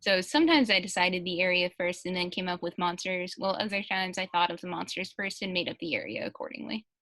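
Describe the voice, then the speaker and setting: A woman's voice: on the low side, quick pace, a bit bright, no room sound. A woman on a casual panel, reading out translated answers and adding brief comments.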